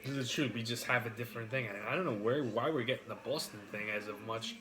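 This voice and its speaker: A man's voice: mid-range voice, fast, crowd noise, poor audio. A man in his thirties with a New York accent, rambling and joking casually as he eats. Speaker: mukbang host. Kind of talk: casual chat while eating.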